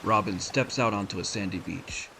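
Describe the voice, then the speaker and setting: A man's voice: on the low side, normal speed, vocal fry, a little hiss or hum. A man narrating a scripted audio drama in descriptive storytelling prose. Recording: loud music under the voice.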